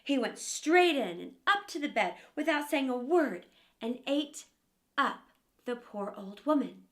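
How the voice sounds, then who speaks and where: A woman reading a fairy tale aloud to children as an expressive storyteller. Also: high voice, a little slow.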